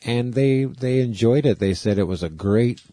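A man's voice: medium pitch, moderate pace, dark tone, no room sound, clean studio sound. An American man co-hosting a podcast, chatting casually and rambling along in a laid-back, conversational way. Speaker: podcast co-host.

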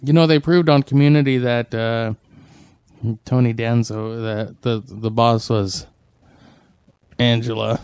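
A man with a gravelly yet smooth, mellow voice trades laid-back remarks on a podcast. His replies are short and easygoing, and the sound is dry and close. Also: mid-range voice, steady tone, unhurried, long pauses, no echo. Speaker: podcast co-host. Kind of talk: casual chat.

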